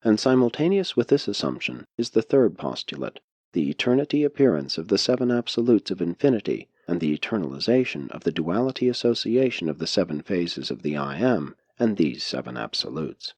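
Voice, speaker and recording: A man reading a spiritual text as an audiobook narrator, in measured, deliberate phrasing. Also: low voice, very animated, a little mellow, breathy edge, no echo.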